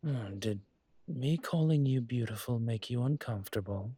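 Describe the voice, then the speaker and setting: A man playing a courtly, possessive dragon mafia boss in an intimate audio roleplay, speaking in a suave, teasing, formal way with a husky voice. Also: mid-range voice, moderate pace, mellow, dry recording, close to an everyday voice. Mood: suave, flirtatious. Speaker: voice actor.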